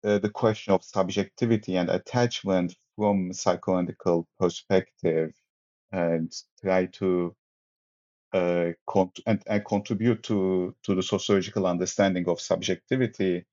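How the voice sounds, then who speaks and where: A man's voice: low-pitched, steady tone, normal speed, warm tone, muffled recording. A man from Turkey with a Turkish accent, a sociologist talking about his research as an interview guest, hesitant with many restarts and fillers. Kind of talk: academic interview.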